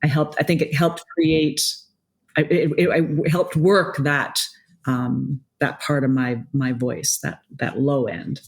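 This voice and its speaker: A middle-aged woman from Quebec with a low voice, chatting casually as a musician guest, often stopping and restarting. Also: slow pace. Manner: hesitant, many restarts and fillers. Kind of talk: casual interview chat.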